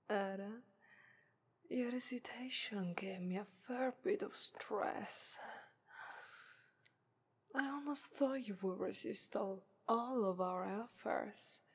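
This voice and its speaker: A haughty female lust demon voiced by a woman who purrs her taunts in a breathy, airy whisper. She speaks with an Italian accent and drags every phrase out very slowly. It's an intimate ASMR roleplay. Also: medium pitch. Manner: whispery, teasing, purring "ara ara".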